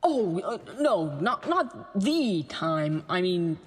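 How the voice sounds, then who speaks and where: A woman's voice: fairly low pitch, big pitch swings, slow, husky, heavily acted. A woman playing an insecure young male soldier in a fantasy audio drama, hesitant and stammering. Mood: unsure, vulnerable. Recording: long hall reverb.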